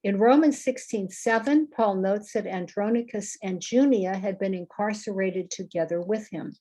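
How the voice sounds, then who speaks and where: Measured woman reading an academic paper. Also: mid-range voice, dark tone, poor audio. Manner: measured, careful academic delivery. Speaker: scholar giving a conference paper.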